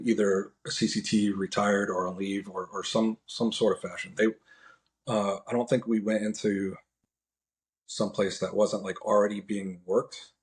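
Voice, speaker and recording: American guy guest on a podcast, lowish voice, matter of fact, kinda halting as he explains. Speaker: podcast guest, National Guard air traffic controller. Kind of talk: casual interview account.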